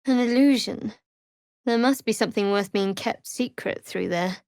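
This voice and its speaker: An actress playing a guarded, sardonic young female cleric of a dark goddess in a video game, speaking with dry, wry, clipped sarcasm. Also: medium pitch, normal speed, no room sound, lightly acted. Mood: guarded, matter-of-fact. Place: dry studio recording.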